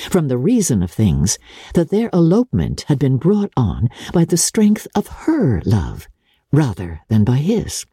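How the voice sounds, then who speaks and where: A woman reads literary narration with a husky, mellow voice and a highly animated range. Her storytelling is measured and expressive, captured in a close, dry recording. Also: dry recording. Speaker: audiobook narrator.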